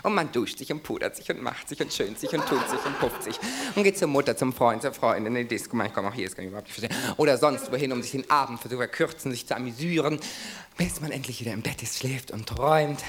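A man's voice: mid-range voice, fast, a crowd in the background. A man delivering a rambling comic monologue in a breathless, chatty, exaggerated run-on manner. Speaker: cabaret performer.

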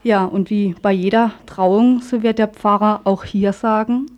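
A woman presents scripted radio news and commentary. Her voice is mellow and soft-edged, set at a middle pitch. She reads in a pointed, ironic way. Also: fairly even intonation, measured pace.

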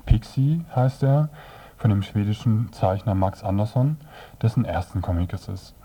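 Low-voiced man reviewing on radio. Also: moderate pace, mellow. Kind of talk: scripted radio review, narration.

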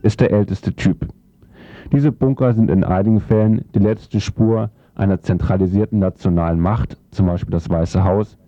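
A man's voice: fairly low pitch, steady tone, moderate pace, mellow, dry recording. A man narrating a scripted theoretical text in a formal, declarative manner. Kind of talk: scripted reading of a theoretical essay. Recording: dull lo-fi sound.

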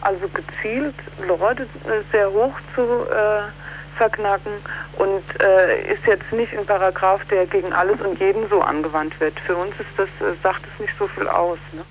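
Female activist interviewee, mid-pitched, halting and searching delivery, faintly noisy recording. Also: steady tone. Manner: halting, hesitant, often ending on question tags. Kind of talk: spontaneous political interview.

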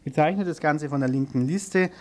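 A man with a slightly high voice presents the news from a radio studio. He moves between reading out items and loosely improvised, somewhat hesitant links. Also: fairly quick, darkish tone. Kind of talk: live studio news overview, partly read out.

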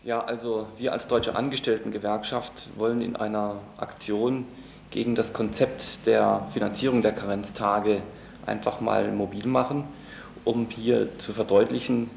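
A man's voice: on the low side, vocal fry, a little mellow. A man giving formal, wordy interview answers as a trade union official. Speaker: trade union regional secretary being interviewed. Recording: echoey room, faint background noise.

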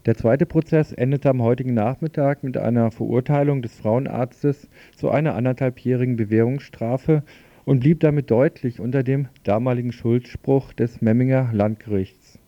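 A man's voice: mid-range voice, normal speed, dark tone, dry recording. A man presenting a radio news report as host and interviewer, on a slightly dull recording.

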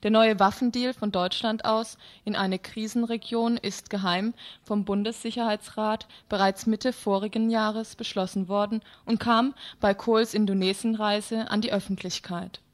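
A woman's voice: medium pitch, steady tone, normal speed, hardly any pauses. A woman presenting a news magazine on the radio, reading out scripted reports.

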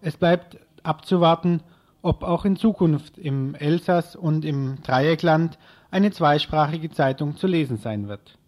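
A man's voice: fairly high pitch, measured pace, a little mellow. A man working as a radio reporter, delivering a scripted news report.

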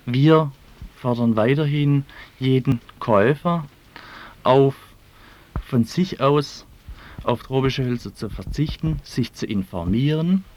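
Mid-pitched man answering in an interview. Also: takes it slowly, a little mellow. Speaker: activist spokesperson being interviewed.

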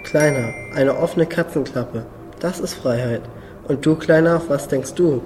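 Sage fatherly cat played by a man: mellow, reassuring, in long reverb, faint music behind, radio drama. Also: fairly high pitch, a little slow, distant mic, natural voice. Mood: philosophical. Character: wise, fatherly male cat talking to a boy. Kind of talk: German radio play dialogue.

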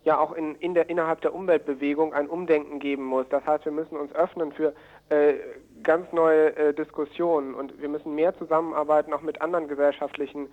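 Man, radio interviewee, hesitant and earnest, flat intonation, thin phone-like sound. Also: on the high side. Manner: hesitant, halting, earnest phrasing. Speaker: environmental activist being interviewed. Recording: narrow tinny phone-like line.

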